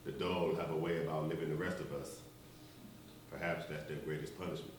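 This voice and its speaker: An actor playing a grave, measured Baptist minister in a stage play, speaking slowly and reflectively. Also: male voice, rumbling low, fairly even intonation. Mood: calm, reflective. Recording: echoey, distant stage recording, faint hiss.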